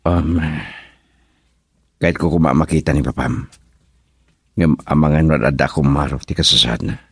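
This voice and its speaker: A man's voice: a bass voice, steady tone, moderate pace, breathy edge, dry recording, clean studio sound, full character voice. An actor with a Filipino accent playing a considerate young male sweetheart in a radio drama. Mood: concerned.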